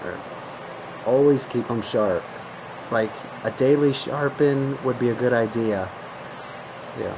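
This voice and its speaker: A man improvising a casual roleplay monologue as a calm zombie apocalypse survivor, talking to the listener in short, hesitant fragments. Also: medium pitch, slow, pauses often, background noise. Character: calm male zombie apocalypse survivor giving weapon tips. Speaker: roleplay video creator playing a zombie survivor.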